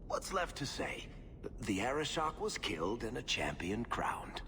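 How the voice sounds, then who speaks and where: An actor playing a wry, roguish storyteller in a video game, sardonic and theatrical in delivery. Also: male voice, medium pitch, very animated, a little slow, sharp tone, a little hiss or hum, heavily acted. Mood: wry, guarded. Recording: long hall reverb, music underneath.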